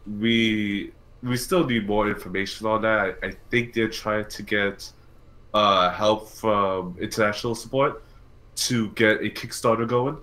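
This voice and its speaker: A man's voice: lowish voice, fairly even intonation, a little slow, bright, forceful tone, low-quality recording. A man co-hosting a casual live stream podcast, speaking a little hesitantly and often repeating himself. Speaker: podcast co-host.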